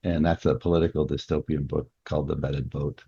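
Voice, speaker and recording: A man's voice: a bass voice, little pitch movement, fairly quick, mellow, breathy edge, no room sound, muffled recording. A man answering as an interview guest and author, explaining things in a casual, conversational way.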